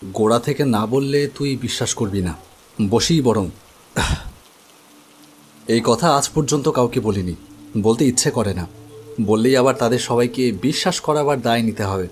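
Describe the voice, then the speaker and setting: A man's voice: medium pitch, moderate pace, long pauses, natural voice. Narrator-protagonist, acted by a man: earnest, uneasy, mid-range voice for horror animation, faint music behind. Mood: reflective storytelling. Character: earnest, uneasy man recounting his ghostly past. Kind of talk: dramatized story narration. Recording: music under the voice. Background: background music.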